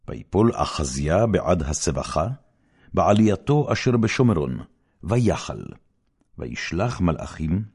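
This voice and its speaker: A man with a low voice reads holy scripture aloud as an audiobook narrator. His delivery is solemn and measured, with wide swings of intonation that give key phrases declamatory weight. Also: warm tone, husky. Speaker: audiobook Bible reader.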